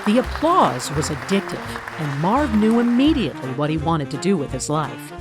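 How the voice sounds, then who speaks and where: An animated woman narrator tells a story in polished, scripted documentary style. Her knowing delivery rises and falls freely over a clear music bed. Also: sits low, normal speed, a loud crowd. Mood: knowing, storytelling.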